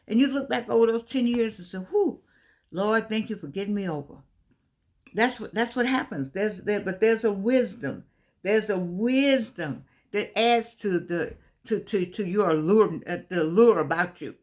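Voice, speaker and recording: A woman's voice: mid-range voice, normal speed, darkish tone. A woman in her eighties giving a casual motivational talk to her viewers, folksy and emphatic, often repeating herself. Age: 80s. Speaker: vlogger.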